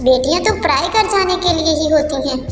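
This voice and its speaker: Woman voicing a high-pitched approving cartoon crow. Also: brisk, natural voice. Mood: approving, pleased. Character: approving crow friend, old-fashioned about sons. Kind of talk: Hindi cartoon voice acting. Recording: echoey reverb over music.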